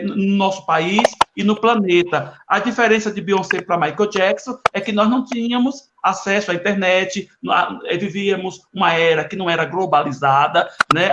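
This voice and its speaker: An echoey, noisy live-stream recording of a Brazilian man with a very high voice. He hosts an interview in a halting, conversational way, feeling for his words. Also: dull audio. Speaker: host of a live online talk. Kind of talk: hesitant interview talk.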